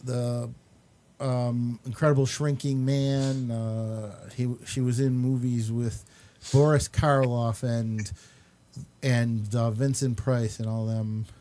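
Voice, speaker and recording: A male host talks at a slow, easy pace in a dark, mellow voice. He rambles casually, stopping often with ums and uhs as he chats with his panel. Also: medium pitch, level tone. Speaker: show host.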